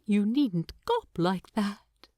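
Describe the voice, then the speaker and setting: A woman's voice: medium pitch, big pitch swings, extremely slow, dark tone, dry recording, studio quality. A woman voicing a fussy, fond male hobbit who scolds lightly and affectionately in a story narration. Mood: teasing.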